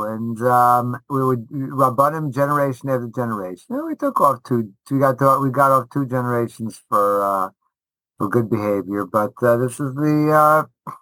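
Middle-aged male interview guest, veteran teacher type. Forceful bright tone, dry sound, animated stop-start storytelling. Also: mid-range voice, dry recording, rough recording. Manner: animated, halting delivery with repeated false starts.

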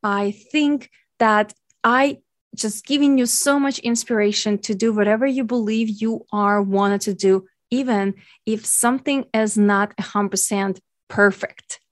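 A woman's voice: medium pitch, fairly even intonation, a little slow, dry recording, clean studio sound. Ukrainian-accented woman hosting a podcast. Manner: earnest, grateful, non-native phrasing. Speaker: podcast host, nutrition coach. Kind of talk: solo podcast monologue.

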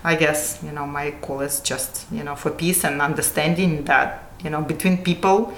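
A woman who grew up in Russia, talking earnestly as an interview guest, often halting and restarting. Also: low-pitched, fairly even intonation, fairly bright tone. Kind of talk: casual conversation. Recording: echoey room with faint noise.

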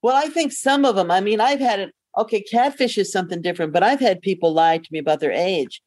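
A woman's voice: mid-range voice, fast, darkish tone, no echo, clean studio sound. A woman podcast co-host chatting casually in a joking, teasing manner. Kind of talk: casual chat about online dating.

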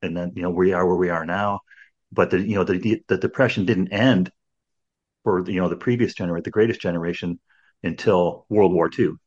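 A man's voice: low voice, fairly even intonation, a little fast, darkish tone, husky, highs cut off. A man in his sixties hosting a podcast in casual conversation, rambling with many restarts and "you know"s. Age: 60s. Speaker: podcast host.

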